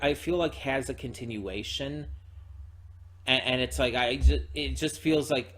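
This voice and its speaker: Guy doing an off the cuff reaction, mid pitched voice, rambles and stumbles back over his words a lot. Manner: rambling, halting, repeats words and hedges often. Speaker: reaction channel host. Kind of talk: unscripted casual reaction commentary.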